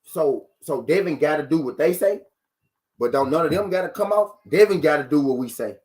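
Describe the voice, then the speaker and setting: A man's voice: fairly high pitch, lively intonation, a little fast, darkish tone. A man hosting a live boxing talk show, arguing his point with rhetorical questions, repeating himself and greeting viewers from the chat.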